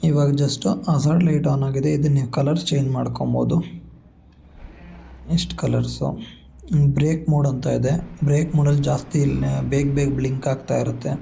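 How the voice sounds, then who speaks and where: A man with a Kannada accent walks viewers through a device in a casual, explanatory vlogger style. His voice is very dark and relaxed with a noticeable creaky grain, and he drops English tech terms into his sentences. There is faint noise behind him. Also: mid-range voice, a little fast. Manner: explanatory, mixes English tech words into speech. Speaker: vlogger demonstrating a gadget. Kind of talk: casual step-by-step product walkthrough.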